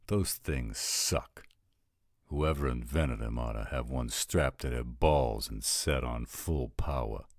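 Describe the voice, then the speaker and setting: Very deep, gruff-talking roguish guy acted by a man. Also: slow, no room sound, studio quality. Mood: blunt, matter-of-fact. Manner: slangy, blunt, drawling street talk. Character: gruff, blunt, roguish male supernatural scout with a code. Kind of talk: audio roleplay drama acting.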